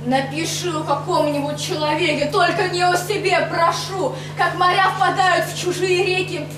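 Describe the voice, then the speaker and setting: Young woman reciting poetry, very high voice. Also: steady tone, normal speed. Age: young adult. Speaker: poet reading her own poems. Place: stage of a rock cafe. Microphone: far camera mic, no handheld mic. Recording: echoey club room, far mic, audible noise.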